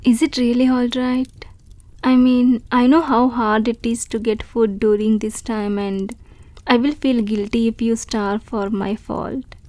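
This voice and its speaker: A woman voicing a shy, grateful young female wolf creature in an audio roleplay, speaking softly and politely and stammering when unsure. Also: fairly high pitch, fairly even intonation, a little slow, no room sound, lightly acted. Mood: awestruck. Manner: soft, polite and hesitant, with stammers.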